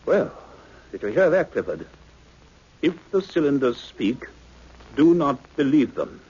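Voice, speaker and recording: A grave man of science in an old radio serial, speaking with urgent authority at a middle pitch. The recording is dull, with a layer of noise over it. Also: big pitch swings, a little slow, darkish tone. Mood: grave realisation. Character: commanding male scientist doctor facing an alien threat, also narrating. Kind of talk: 1960s radio drama acting.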